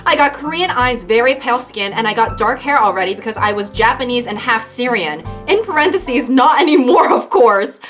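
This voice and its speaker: Woman putting on a giddy teen girl, squealy and high, super excited. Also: a little fast, hardly any pauses, faint music behind. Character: giddy teenage girl bragging about changing race.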